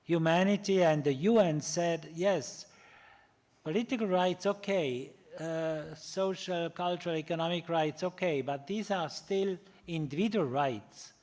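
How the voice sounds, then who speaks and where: A man whose first language is German, giving a public lecture in English, halting often with fillers and restarts. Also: a little high, a little mellow. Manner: pauses on single words, slow in places.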